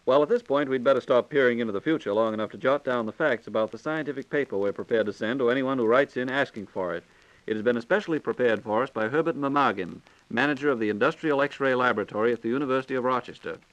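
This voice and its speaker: A man's voice: mid-range voice, fast, fairly bright tone, muffled recording. An American man hosting a scripted 1940s radio program, announcing with folksy, courteous patter.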